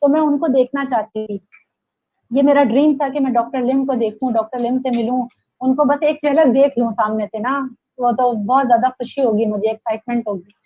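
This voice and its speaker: Pakistani lady, high voice, really enthusiastic n emphatic, repeats stuff for effect, dry no-echo sound. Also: fairly even intonation, moderate pace, lo-fi sound. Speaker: interview guest, direct-sales leader. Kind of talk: motivational testimonial in an interview.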